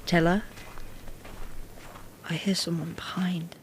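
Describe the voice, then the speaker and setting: A man's voice: fairly high pitch, little pitch movement, slow pace, a little hiss or hum. A man playing a kind, polite teenage village boy, hesitant and earnest, in a period audio drama. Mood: shy, uneasy.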